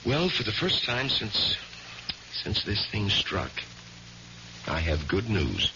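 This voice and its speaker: An actor playing a cautious family doctor with a deliberate, professional manner in a radio drama. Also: male voice, on the low side, big pitch swings, sharp tone, exaggerated voice. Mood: careful, professional. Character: kindly, cautious male family doctor on house call. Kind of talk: 1970s radio drama acting. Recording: dull, noisy old radio recording.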